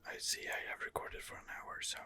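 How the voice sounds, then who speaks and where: A man giving ASMR game commentary in a breathy, husky whisper, hesitant and rambling as he muses his way along. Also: brisk, bright, forceful tone. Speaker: video game streamer, channel host.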